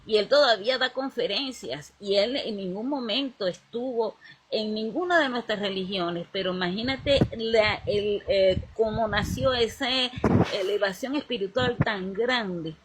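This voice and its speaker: A woman's voice: mid-range voice, measured pace, slight background noise. Rambling woman talking spirituality. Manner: rambling, searching for words, restarting sentences. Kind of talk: casual conversation about spirituality.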